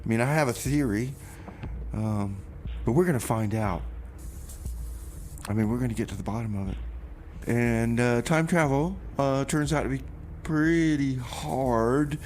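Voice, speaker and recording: A man hosting a community radio music show in a casual, halting style with comic banter, often repeating his words. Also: medium pitch, darkish tone, a little hiss or hum.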